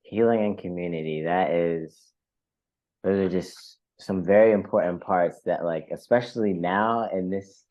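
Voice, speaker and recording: Effusive low-voiced man hosting a podcast. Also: steady tone, measured pace, a little mellow, muffled recording. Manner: effusive, hesitant phrasing with repeated words. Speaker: podcast host, interviewer. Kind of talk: conversational podcast interview.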